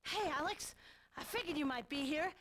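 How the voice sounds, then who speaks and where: A woman's voice: sits high, lively intonation, normal speed, a bit bright. A woman voicing a friendly young adventurer in a game, chatty and laughing between retakes. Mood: eager. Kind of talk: game voice-over recording session.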